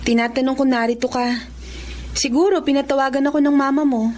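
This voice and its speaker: A woman playing a sensible, concerned young Filipino best friend in a radio drama, gently admonishing. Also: high voice, steady tone, fairly quick, slight background noise, close to an everyday voice. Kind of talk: Tagalog radio drama acting.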